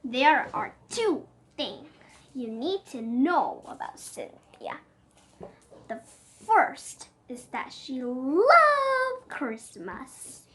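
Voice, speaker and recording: A high, youthful voice reading a picture book aloud expressively, with playful exclamations and chatty asides. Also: wildly swooping pitch, drawn-out words, sharp tone, slightly rough. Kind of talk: animated read-aloud storytelling with chatty asides.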